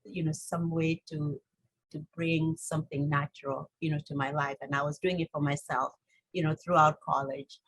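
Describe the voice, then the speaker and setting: A low-voiced woman with a Kenyan accent shares her story in an interview. Her voice is husky and recorded close and dry. She talks informally and haltingly, circling back with frequent "you know". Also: level tone, fairly bright tone, no echo, poor audio. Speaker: interview guest, holistic health coach.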